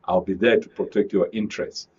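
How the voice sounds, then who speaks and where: A man with a Nigerian accent commenting on politics as a panel guest, deliberately, in short broken phrases. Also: deep voice, steady tone, darkish tone, breathy edge. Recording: dull lo-fi broadcast audio.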